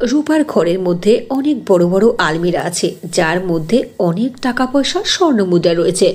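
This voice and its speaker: A woman's voice: medium pitch, darkish tone, slightly rough. A woman narrator reading a scripted fairy tale in a storytelling style.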